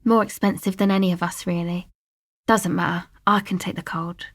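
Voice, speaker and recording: A woman's voice: fairly low pitch, little pitch movement, normal speed. An actress playing a guarded, laid-back young streetwise woman in a video game, talking in a casual, slangy, dry way. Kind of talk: video game character dialogue, conversational.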